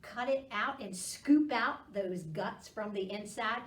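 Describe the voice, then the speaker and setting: A woman hosting a library storytime, reading rhymes to children with an expressive, encouraging, singsong delivery. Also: medium pitch, slow pace. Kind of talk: children's storytime read-aloud with rhymes and guessing prompts. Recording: roomy echo, distant microphone.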